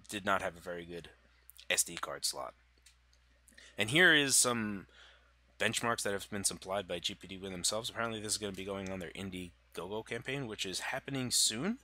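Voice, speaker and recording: Man, very low voice, congested, casual tech talk, filler-heavy. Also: sharp tone. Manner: loose, filler-heavy, self-correcting. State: congested from a cold. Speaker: tech channel host. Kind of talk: casual tech explainer over slides.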